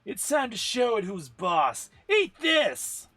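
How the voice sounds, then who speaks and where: A man's voice: extremely high pitch, sing-song, takes it slowly, bright, forceful tone, soft background music, voice pushed up, full character voice. Man putting on a cocky, cool fighter woman. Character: cool, confident, reluctant female bazooka-wielding fighter.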